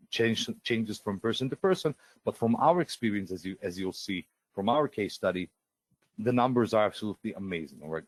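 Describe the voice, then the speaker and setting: Low-voiced man pitching software in a dry room. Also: no echo, low-quality recording. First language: Russian. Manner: eager salesy pitch, many fillers and restarts. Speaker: software presenter on a live webinar. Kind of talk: live sales webinar, screen-share walkthrough.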